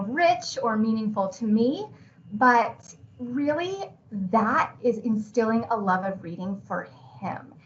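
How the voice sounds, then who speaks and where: A woman teacher presenting a webinar in a conversational, encouraging and reassuring way. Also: on the high side. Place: remote online video call. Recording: dull online webinar audio with background noise.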